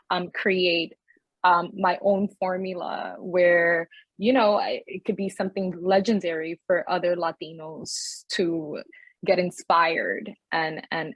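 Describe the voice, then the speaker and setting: A woman's voice: fairly low pitch, dry recording. Candid woman actor, dry close sound. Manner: candid, frank, with light self-aware laughs. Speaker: actor guest. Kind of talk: casual interview answers. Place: home room. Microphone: video call.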